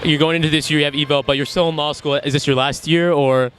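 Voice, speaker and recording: Fast, bright-voiced male interviewer. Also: fairly high pitch, steady tone, slight background noise. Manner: upbeat, affirming, quick questions. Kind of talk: casual interview.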